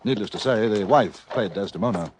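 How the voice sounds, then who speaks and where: An actor playing an urbane English newspaper correspondent, narrating a radio western in a wry, formal, articulate way. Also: male voice, fairly low pitch, a little fast, darkish tone, lightly acted. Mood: wry, reflective. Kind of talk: scripted radio drama narration. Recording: faintly noisy vintage radio recording.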